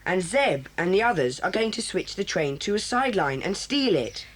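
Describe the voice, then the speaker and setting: A woman voicing a decisive boy who leads a children's club in an audio drama, briskly taking charge. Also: medium pitch, big pitch swings.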